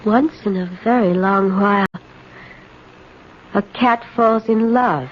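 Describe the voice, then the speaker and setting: A woman's voice: medium pitch, takes it slowly, lightly acted. An actress playing a bitter, jealous, imperious woman in a 1970s radio drama, with a theatrical, commanding, brooding delivery. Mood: brooding, disgusted. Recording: dull, noisy old radio broadcast.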